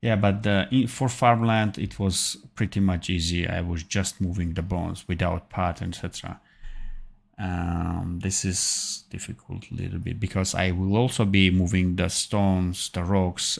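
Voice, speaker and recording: Slow, gravelly low-voiced man thinking aloud. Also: level tone. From Armenia. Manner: thinking aloud, halting with fillers and self-corrections. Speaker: animation tutor. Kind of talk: live-streamed software tutorial.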